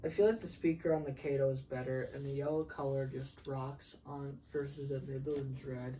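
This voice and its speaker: A mellow-voiced, chatty review co-host talking off the cuff to viewers. The tone of the voice is soft rather than bright, and there is faint noise on the recording. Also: mid-range voice, little pitch movement, moderate pace, rough recording. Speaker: co-host of a product review channel. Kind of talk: casual unscripted product review chat.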